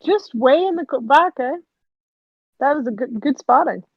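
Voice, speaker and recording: A woman's voice: sits high, lively intonation, normal speed, no room sound. A Canadian woman chatting casually on a group voice call, giggly and talkative, often laughing between remarks. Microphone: home voice-call mic. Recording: muffled voice-chat audio.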